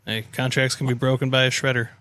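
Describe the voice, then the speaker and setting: A man's voice: medium pitch, level tone, fast, sharp tone, no echo. A man co-hosting a podcast with casual banter and a wry, joking manner.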